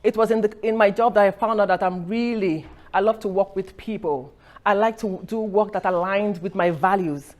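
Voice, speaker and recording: A woman's voice: medium pitch, normal speed. A middle-aged woman from Nigeria giving an earnest personal talk at an event, telling her story with restarts and light laughs. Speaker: speaker. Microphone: recorded for a podcast.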